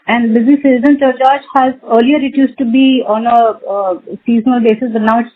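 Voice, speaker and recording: A woman's voice: on the high side, steady tone, brisk, slight background noise. A woman with an Indian accent asking polite, wordy questions as a financial analyst on an earnings call. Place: phone call. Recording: tinny narrow phone line.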